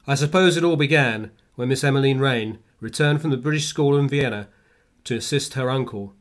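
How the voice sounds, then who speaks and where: An actor playing a former Victorian reporter, solemnly narrating a radio play in measured, formal speech. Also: male voice, mid-range voice, close to an everyday voice. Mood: measured, reflective. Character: male former reporter recounting a true Victorian adventure.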